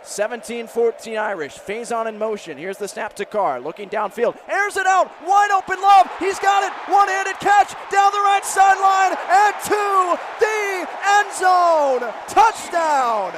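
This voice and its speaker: High-pitched male football play-by-play announcer. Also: unhurried, hardly any pauses, crowd noise. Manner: excitable, bursts into shouting on big plays. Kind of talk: live football radio play-by-play commentary. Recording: live stadium broadcast with faint noise.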